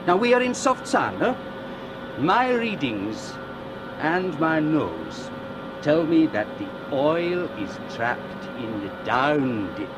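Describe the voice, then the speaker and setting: A seasoned oil prospector who trusts his nose and his readings. He talks slowly in a high, lively voice. The old radio recording carries a steady hiss. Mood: assured. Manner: slow, deliberate, self-assured. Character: confident veteran oil prospector, calculating gambler. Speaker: oil exploration expert. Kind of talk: 1960s-70s radio drama acting.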